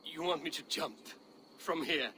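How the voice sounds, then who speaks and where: A proud skeptical viking warrior for a game, forceful edge, bit high for a man, dry and wry. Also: unhurried, slight background noise. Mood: doubtful. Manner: dry, wry, formal old-fashioned phrasing. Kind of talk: video game cutscene acting.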